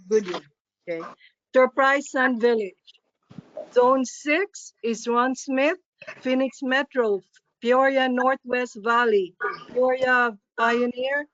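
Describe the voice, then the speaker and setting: A woman with a Filipino accent reads slowly and carefully to a club meeting, fixing her own slips as she goes. Her voice sits a little high. The sound is dry and dull, like lo-fi online meeting audio. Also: dry recording. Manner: slow, careful reading with small self-corrections. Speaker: club officer presenting at a district meeting. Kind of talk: reading a poem and listing names at a meeting.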